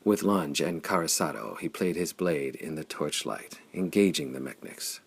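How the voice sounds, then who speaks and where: A man with a gravelly, husky voice narrating pulp adventure fiction in a dramatic, intense storytelling manner. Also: rumbling low, normal speed. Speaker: audiobook narrator.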